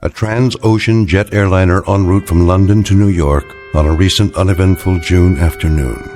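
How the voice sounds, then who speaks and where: Actor as grave male narrator, very low pitch, measured, radio drama, faint music. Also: steady tone, a little mellow, lightly acted. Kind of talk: scripted narration.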